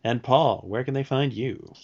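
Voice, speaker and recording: A man co-hosting a podcast, speaking casually but in an orderly, clear delivery. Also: medium pitch, moderate pace, darkish tone. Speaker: podcast co-host. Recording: dull lo-fi voice track.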